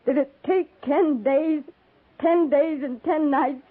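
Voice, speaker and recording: A woman's voice: high voice, takes it slowly, no echo. A grieving young pioneer widow, bitter and worn down but stubborn. She sounds like a 1950s Western radio drama, heard through a thin, narrow old broadcast band. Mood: anguished.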